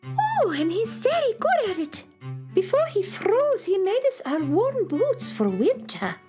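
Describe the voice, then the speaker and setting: A woman playing a kindly, chirpy female forest pixie in a children's radio drama, explaining things in a gentle, storybook lilt. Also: very high-pitched, huge pitch swings, measured pace, faint music behind, exaggerated voice. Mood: friendly, chatty.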